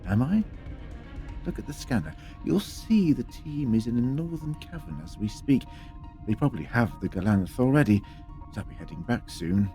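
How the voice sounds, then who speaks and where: An actor playing a cunning, defiant time-travelling adventurer in a science fiction audio drama, wry and deliberate. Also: male voice, mid-range voice, animated, mellow, soft background music, close to an everyday voice. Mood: taunting.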